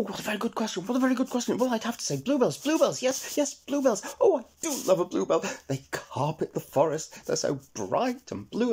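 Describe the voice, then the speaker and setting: A man voicing a jittery, spry old male squirrel who stammers and repeats words rapidly, as in a children's storybook. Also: high-pitched, a little mellow, a little hiss or hum, pitched above the performer's own voice.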